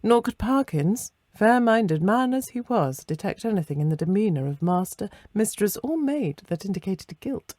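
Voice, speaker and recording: A woman reading a ghost story aloud as dramatic, measured literary narration. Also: medium pitch, animated, a little mellow, no room sound. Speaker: story reader.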